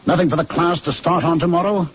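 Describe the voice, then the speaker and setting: An actor playing a ruthless, scheming young medical student in an old-time radio drama, urgent, insistent and smoothly menacing. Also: male voice, a little high, steady tone, fast talker. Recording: muffled radio drama recording, faint noise.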